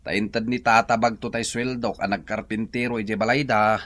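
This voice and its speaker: A man's voice: lowish voice, little pitch movement, moderate pace, fairly bright tone, lightly acted. A man with an Ilocano accent playing an irresponsible, resentful husband who complains slyly in a radio drama. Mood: sarcastic, testy.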